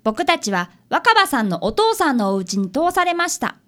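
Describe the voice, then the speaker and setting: A woman's voice: high-pitched, sing-song, moderate pace. A woman playing a polite, earnest fifth-grade boy who is an apprentice detective and narrator in a radio drama. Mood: bright narrating.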